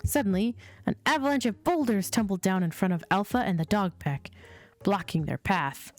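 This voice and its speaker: A woman reading children's storybooks aloud as a host, in a measured, sing-song storytelling delivery. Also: medium pitch. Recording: music playing behind the voice. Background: chill music.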